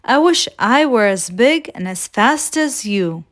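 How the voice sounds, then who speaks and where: A woman's voice: on the high side, animated, measured pace. Woman acting small young storybook sleigh, eager, childlike, polite, wide-eyed. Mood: eager, admiring.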